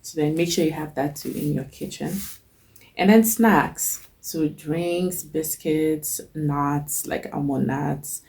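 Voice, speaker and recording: A young woman talks slowly through a kitchen list, pausing on ums. Her voice is low and dark, with a gravelly edge, and she sounds stuffy from a cold. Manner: chatty, list-like, frequent ums. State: has a cold. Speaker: cooking channel host. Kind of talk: casual talk to camera.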